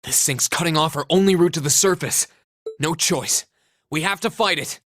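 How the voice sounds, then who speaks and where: An actor playing a calm, fair-minded young male student in a video game, speaking in a level-headed, earnest way. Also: fairly high pitch, moderate pace. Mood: resolute.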